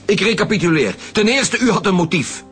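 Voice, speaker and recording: An actor playing an authoritative, wry Flemish chief homicide detective in a radio crime drama. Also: male voice, sits high, lively intonation, fairly quick, a bit bright, soft background music. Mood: stern.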